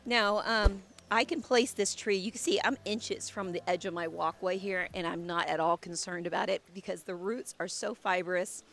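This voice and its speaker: A woman's voice: fairly low pitch, moderate pace, gravelly, hardly any pauses, a bit bright. A woman in her thirties, a landscape designer, giving an enthusiastic, chatty walkthrough of her garden outdoors on a handheld mic while losing her voice.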